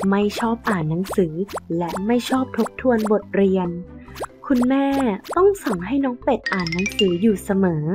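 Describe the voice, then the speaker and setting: A woman narrating a scripted children's story as the storyteller. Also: mid-range voice. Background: background music.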